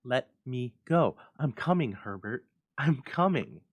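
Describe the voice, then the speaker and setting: A man plays a desperate old mother in a Gothic horror tale. Her voice is pitched high and loud, urgent and demanding, crying out in frantic grief. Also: expressive, takes it slowly. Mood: frantic, pleading. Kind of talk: dramatic Gothic story reading.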